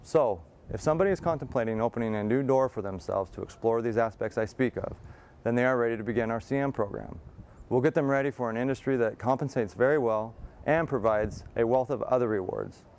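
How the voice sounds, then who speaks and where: A man giving a prepared promotional talk as a college program representative, in a formal, measured and wordy manner. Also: mid-range voice, a little mellow.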